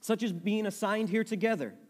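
A man reading aloud the lines of a devout, gentle woman prisoner, earnest and matter-of-fact. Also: high-pitched, fairly quick, pitched above the performer's own voice, close to an everyday voice. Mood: eager. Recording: reverberant hall.

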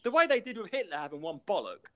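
A man's voice: high-pitched, sing-song, quick pace, slight background noise. A man, a podcast co-host in casual chat, ranting and rambling and breaking into laughter.

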